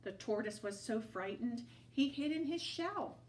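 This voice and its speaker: A woman reading a children's story aloud to toddlers in an expressive storytelling style. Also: on the high side. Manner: slow, animated read-aloud.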